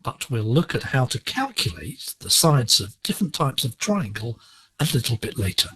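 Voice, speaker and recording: A man presenting a scripted educational lesson on shortwave digital radio, explaining in a measured, deliberate way. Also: mid-range voice, very animated, fairly bright tone, breathy edge, no echo, low-quality recording. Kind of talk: scripted instructional narration.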